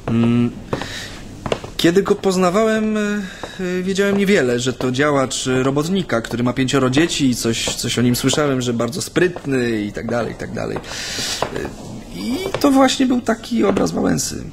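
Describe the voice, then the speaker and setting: An actor playing a chatty, nervous Japanese man steeped in Polish ways, speaking haltingly in naturalistic radio drama dialogue. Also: on the high side, sing-song, creaky, noisy background. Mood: chatty, hesitant. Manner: hesitant, halting, with many hems and repetitions.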